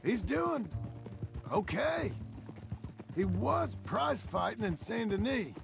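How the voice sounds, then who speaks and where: A gravelly weary ex-outlaw. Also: male voice, high voice, big pitch swings, slow, full character voice. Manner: laconic and wry. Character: weathered ex-outlaw rancher, middle-aged and world-weary. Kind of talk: video game cutscene acting. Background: game music and horse hooves.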